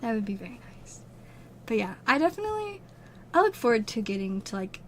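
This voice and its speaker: A woman's voice: a little high, big pitch swings, a little fast, fairly bright tone, a little hiss or hum, poor audio. A twenty-year-old woman from Utah chatting casually with livestream viewers, rambling with lots of fillers and laughs, her voice strained and wearing out. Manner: rambling, giggly, many "like" fillers. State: losing her voice, strained throat. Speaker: streamer.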